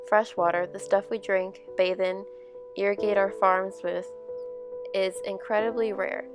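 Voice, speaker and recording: A woman reading a scripted documentary narration in a measured, explanatory way. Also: medium pitch, gravelly. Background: music.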